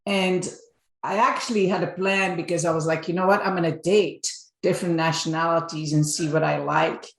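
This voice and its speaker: A woman's voice: fairly low pitch, steady tone, normal speed, gravelly, roomy sound. A middle-aged woman from Belgium, a guest on an interview podcast, telling her story in casual chat with many fillers and restarts. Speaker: guest, marketing executive.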